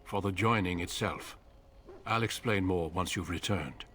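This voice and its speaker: An actor playing a grave veteran warrior who commands recruits in a video game, measured, formal and authoritative. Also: male voice, low voice, fairly bright tone. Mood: grave instruction.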